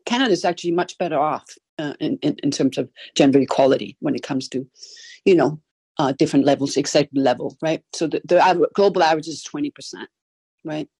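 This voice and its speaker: Danish-accented woman, brisk and husky. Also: sits low, dark tone, no room sound. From Denmark. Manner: brisk, frequent "right?" tags. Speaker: gender equality consultant. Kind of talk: casual panel discussion.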